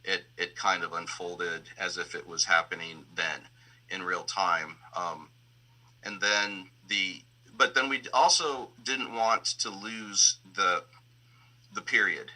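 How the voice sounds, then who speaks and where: Male sound designer, low-pitched but sharply bright, thoughtful and halting interviewee. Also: steady tone, low-quality recording. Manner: hesitant, reflective, searching for words. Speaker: sound designer and audio engineer. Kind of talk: explaining creative process in a panel interview.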